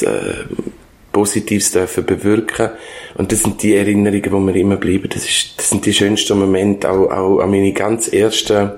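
A man with a low, gravelly voice and a Swiss German accent. He is an older former teacher answering interview questions, haltingly and reflectively, stopping to search for his words. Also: darkish tone. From Switzerland. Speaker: former schoolteacher being interviewed.

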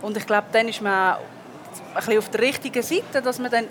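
A woman's voice: on the high side, a little fast, slight background noise. A woman in her thirties with a Swiss German accent, a singer answering interview questions in a chatty way with many fillers. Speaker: guest singer.

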